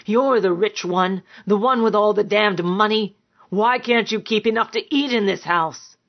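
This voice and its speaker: A woman's voice: medium pitch, measured pace. Brutish abusive male lover played by a woman narrator, growling and contemptuous, storybook drama, slightly muffled sound. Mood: furious roaring. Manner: growling, bullying, roaring contempt. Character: big brutish angry man, abusive sneering lover.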